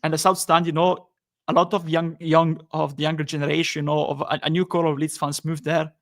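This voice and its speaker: Flat-toned man hosting a podcast. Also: a little high. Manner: halting, many restarts, upbeat. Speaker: podcast host. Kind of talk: casual football podcast chat.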